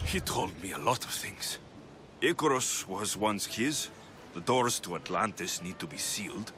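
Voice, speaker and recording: An earnest young Spartan warrior with a bright, forceful edge. His pitch moves widely between wry asides and sincere drama, as in a game cutscene with music behind him. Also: big pitch swings, unhurried, full character voice. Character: young Spartan mercenary son, earnest, wry, battle-hardened.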